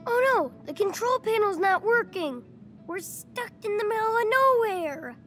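A man's voice: extremely high pitch, measured pace, faint music behind, full character voice. A playful, imaginative ten-year-old boy. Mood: excited play. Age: child figure. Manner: excited, playful, talks to himself. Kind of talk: video game voice acting.

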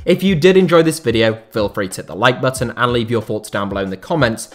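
Male host, mid-pitched voice, measured documentary voice-over, faint music under it. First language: not Bulgarian. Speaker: YouTube channel host. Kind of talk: scripted documentary narration.

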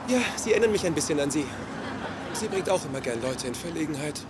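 An actor playing an earnest, awkward young physicist in a sitcom dub, somewhat hesitant. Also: male voice, a little high, expressive, quick pace, a loud crowd, natural voice. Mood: friendly, nervous. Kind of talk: dubbed sitcom dialogue. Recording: noisy TV soundtrack with ambience.

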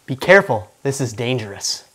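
A man's voice: mid-range voice, very animated, a little fast, fairly bright tone. A man in his thirties hosting a casual cooking demonstration in a home kitchen, joking with the camera as he works. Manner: laid-back, jokey, talks to camera while cooking. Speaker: cooking show host.

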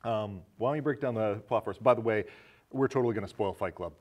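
American guy hosting a movie chat show, kinda low voice. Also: fast talker, darkish tone. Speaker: talk show host. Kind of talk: casual film discussion. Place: small indoor studio set with curtains.

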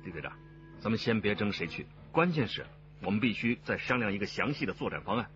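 An actor playing a composed, decisive police detective chief in a radio crime drama. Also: male voice, fairly low pitch, expressive, quick pace, dull audio, music in the background, lightly acted. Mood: focused, urgent.

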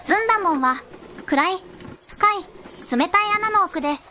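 Very high bright synthetic female narrator. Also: animated, slight background noise. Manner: measured, storybook phrasing, synthetic. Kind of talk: scripted fairy-tale narration.

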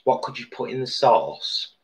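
A man's voice: mid-range voice, animated, normal speed. An older man co-hosting a live cooking stream from his home kitchen, with dry, teasing banter. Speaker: co-host and cook. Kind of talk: casual live-stream chat.

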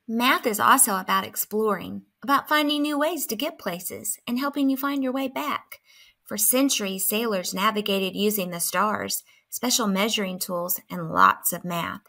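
A woman reading a children's picture book aloud in an expressive manner. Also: medium pitch, vocal fry. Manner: expressive, acting out dialogue. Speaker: storybook reader.

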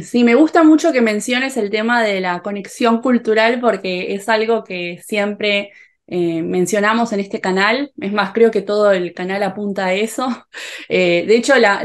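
Young female Argentine host, Rioplatense accent, calm and measured interviewer. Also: medium pitch. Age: young adult. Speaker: host and Spanish teacher. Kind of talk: interview over video call. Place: home room with bookshelves.

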